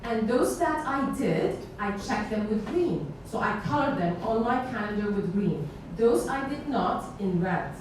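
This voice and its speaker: A woman's voice: medium pitch, expressive, a little slow, few pauses. A woman on a panel giving conversational, practical advice to an audience member. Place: indoor meetup event space with seated audience. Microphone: far camera. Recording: very echoey room, distant microphone, noisy background.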